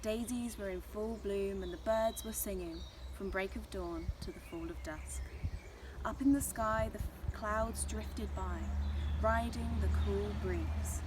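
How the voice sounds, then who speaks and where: Female children's storyteller, mid-pitched, scripted narration, noisy ambient recording.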